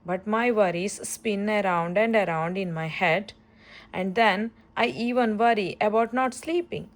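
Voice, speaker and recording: A woman's voice: medium pitch, measured pace, lightly acted. Woman reading as a fretful, sleepless cartoon worrier. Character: anxious, sleepless female cartoon emotion, fretting and worrying.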